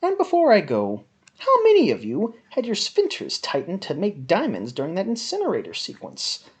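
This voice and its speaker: A woman giving a theatrical dramatic reading of a written review in an overwrought, melodramatic manner with drawn-out emphasis. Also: medium pitch, wildly swooping pitch. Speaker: reader of a written film review.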